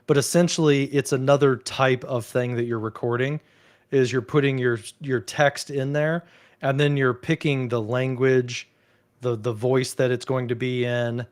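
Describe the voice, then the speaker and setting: A man's voice: mid-range voice, moderate pace. A man hosting a casual chat, talking easily and filling his speech with "like" and "you know". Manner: relaxed, chatty, peppered with "like" and "you know". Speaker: host. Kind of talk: casual chat on a video call.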